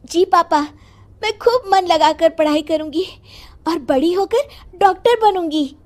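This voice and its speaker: A woman's voice: extremely high pitch, a little slow, a little mellow, pitched above the performer's own voice. A woman voicing an earnest young schoolgirl in a cartoon story. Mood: pleading.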